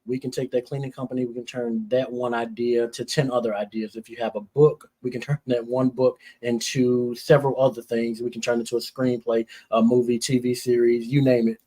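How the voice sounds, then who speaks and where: A man's voice: medium pitch, flat delivery, fairly quick, few pauses, dark tone, rough recording. Earnest motivational man from Indiana. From Gary, Indiana, United States. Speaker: interview guest, brand-building entrepreneur. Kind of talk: interview answers with motivational pitch.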